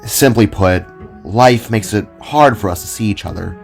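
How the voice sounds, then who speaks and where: A man playing an earnest, easily amazed young husband in an audio drama, speaking in an animated way over music. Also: fairly low pitch, moderate pace, vocal fry. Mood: patient, explaining. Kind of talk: audio drama character acting.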